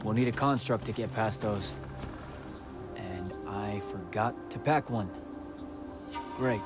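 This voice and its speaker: A man's voice: on the low side, slow pace, over music. An actor playing a cocky young male battlemage recruit in a video game, casual and sarcastic with a hesitant streak. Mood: uneasy. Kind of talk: naturalistic video game acting.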